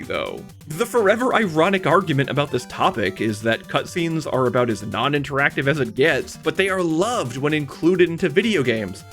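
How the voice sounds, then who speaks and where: A man in his thirties narrating a scripted video essay in an emphatic, wry way with dramatic pauses. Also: a little high, a bit bright. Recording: music behind the voice. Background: background music.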